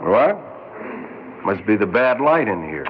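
A man's voice: on the low side, big pitch swings, measured pace. An actor playing a harried, sardonic male police lieutenant in a 1960s radio drama, curt and impatient. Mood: curt, skeptical. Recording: muffled 1960s radio recording, faint noise.

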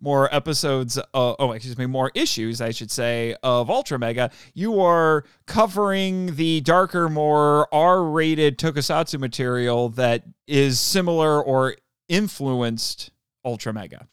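A man's voice: medium pitch, a little slow, fairly bright tone, clean tone, dry recording, studio quality. A man hosting a podcast in casual chat, laughing easily and correcting himself as he goes. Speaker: podcast host.

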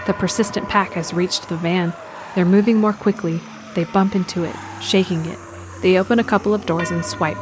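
A woman reading scripted present-tense narration for an audio drama. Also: on the low side.

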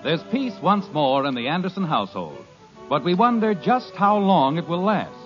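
A man's voice: on the high side, big pitch swings, moderate pace, soft background music. A man announcing and reading coffee ads on a 1950s radio show, with a polished, emphatic sales delivery. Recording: dull lo-fi 1950s radio recording.

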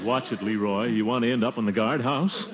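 An actor playing a flustered, pompous middle-aged uncle in 1940s radio comedy, blustering and chuckling through theatrical hesitations. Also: male voice, medium pitch, a little fast, highs cut off, lightly acted. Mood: amused bluster. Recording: noisy, band-limited 1940s radio recording.

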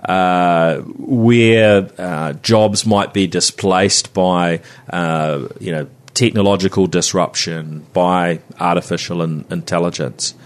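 A man's voice: deep voice, steady tone, slow pace, hardly any pauses. A man hosting a podcast, talking in a loose, rambling way full of "you know" fillers. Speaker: podcast host. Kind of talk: casual interview chat.

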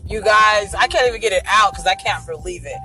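A woman with a raspy voice hosting an online radio show, giving frank, chatty opinions on the news. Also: medium pitch, fairly quick, pushed and bright, faint music behind, poor audio. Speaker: internet radio host. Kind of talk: casual talk-radio commentary.

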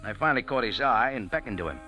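An actor playing a wisecracking American cafe owner who narrates with a dry, hardboiled manner in a 1940s radio drama. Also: male voice, medium pitch, expressive, fast talker, natural voice. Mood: narrating. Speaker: narrator and lead. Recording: old radio broadcast with music.

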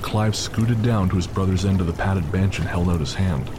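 A man's voice: sits low, moderate pace, background noise. A man giving scripted, dramatic narration for an audio story. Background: music, rain and storm sound effects.

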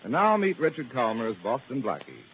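A man's voice: mid-range voice, huge pitch swings, brisk, narrow, tinny audio. A man announcing in 1940s radio style, dramatic and declamatory. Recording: very noisy, thin old radio recording.

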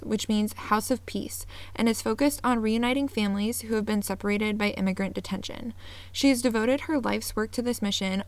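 An upbeat woman hosts her podcast in a bright, fairly high voice. She sounds earnest and eager, and the sound is close and dry with no room around it. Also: no echo. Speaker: podcast host. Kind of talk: scripted intro then casual interview.

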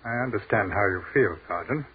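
An educated, dreamy army captain. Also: male voice, on the low side, a little fast. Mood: measured. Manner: crisp, articulate, lyrical speech. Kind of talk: 1940s radio acting. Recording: tinny, hissy 1940s radio broadcast.

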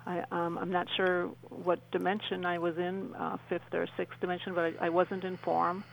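A woman speaking as a radio interview guest, answering conversationally in an earnest, reflective and halting way. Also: fairly low pitch, flat delivery, gravelly, a little hiss or hum, rough recording.

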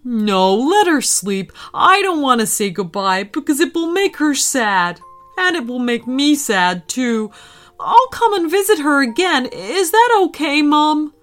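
A woman voicing a cheerful, playful little boy dinosaur in a storybook reading, talking in an excitable, bubbly way. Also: high-pitched, a little slow, faint music behind, voice pushed up, exaggerated voice. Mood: earnest. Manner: bubbly, excitable, stammers when upset.

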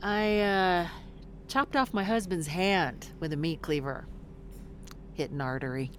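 A woman's voice: on the low side, measured pace, a little hiss or hum, natural voice. An actress playing a blunt, weary middle-aged woman fresh out of prison in an audio drama, swearing in exasperation. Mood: bitter, reflective.

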